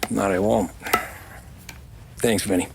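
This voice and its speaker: A man playing a loyal young gangster in a game, laconic, low-key and tough. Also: steady tone, takes it slowly, gravelly, heavy background noise. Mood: businesslike. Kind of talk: game cutscene acting.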